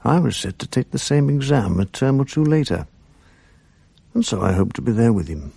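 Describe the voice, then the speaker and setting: A man narrating a radio drama in a measured, reminiscent way, looking back on his school days. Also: mid-range voice, expressive, creaky, dark tone, husky, no echo.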